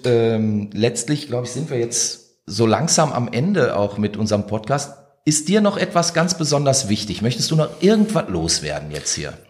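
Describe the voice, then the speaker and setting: A man's voice: on the low side, a little mellow. A man from the Ruhr region co-hosting a podcast, talking colloquially and somewhat hesitantly. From Ruhr region, Germany. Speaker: podcast co-host, author. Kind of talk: casual podcast chat.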